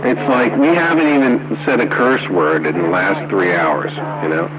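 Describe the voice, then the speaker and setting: A dull, hissy radio signal carries a low male voice. The man chats in an informal way, mixing banter with practical advice to other operators. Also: fairly even intonation, moderate pace. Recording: dull two-way radio with hiss.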